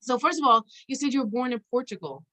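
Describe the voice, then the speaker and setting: A woman hosting a conversational interview, chatty and halting as she searches for her words. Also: on the high side, expressive, a bit bright. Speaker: interview host. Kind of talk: conversational interview with an informal guest introduction.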